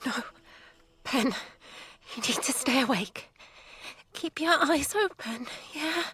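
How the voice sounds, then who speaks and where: A woman's voice: sits high, lively intonation, slow pace, pushed and bright, exaggerated voice. A woman playing a frantic, protective mother who pleads fearfully in an audio drama. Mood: anxious pleading.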